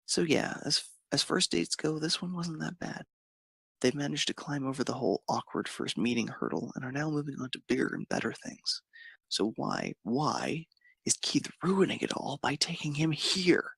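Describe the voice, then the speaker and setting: A man with a creaky, husky voice narrating a romantic story expressively. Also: fairly high pitch, sing-song, normal speed, a bit bright, no room sound.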